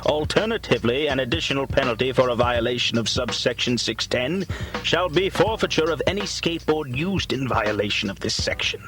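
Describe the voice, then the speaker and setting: A man narrating in a mock-solemn documentary style, like a grave orator, with pompous and deliberate delivery. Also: mid-range voice, expressive, a bit bright, rough recording. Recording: music behind the voice. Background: music.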